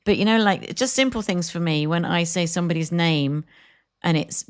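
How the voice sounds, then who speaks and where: A woman's voice: fairly low pitch, moderate pace. A woman co-hosting a podcast, chatting casually and rambling, laughing easily. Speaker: podcast co-host. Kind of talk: casual chat.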